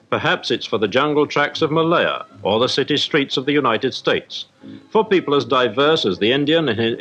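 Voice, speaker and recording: A man's voice: mid-range voice, fairly bright tone. A man with a British accent giving a formal, explanatory scripted report as a 1950s newsreel correspondent. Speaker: industrial correspondent. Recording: dull 1950s newsreel soundtrack, music behind. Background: music.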